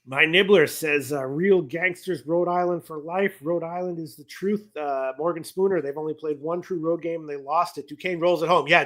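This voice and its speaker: A man hosting a sports betting talk show, rambling and correcting himself as he reads viewer comments, his voice going hoarse. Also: a little high, bright, forceful tone. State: losing his voice. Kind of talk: casual betting talk on a livestream.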